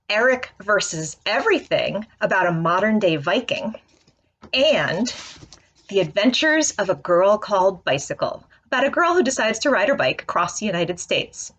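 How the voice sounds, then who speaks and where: A woman's voice: medium pitch, fairly bright tone, husky. A woman, a children's author, presenting to young readers in a storytelling, questioning way.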